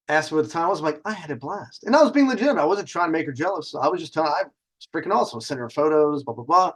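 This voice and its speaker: A man's voice: a little high, slightly rough. A man hosting a casual storytelling session with dating advice, talking in a cocky, conversational way and swearing freely. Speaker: host telling personal stories and dating advice.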